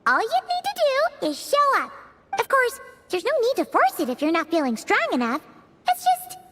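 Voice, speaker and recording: Tiny chirpy game fairy, super high squeaky voice, swooping all over the place. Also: female voice, wildly swooping pitch, normal speed, fairly bright tone, big-room sound, full character voice. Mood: excited, chirpy. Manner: chirpy, exclamatory, refers to self in third person. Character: small, bubbly, childlike floating fairy companion. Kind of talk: animated video game voice acting. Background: music.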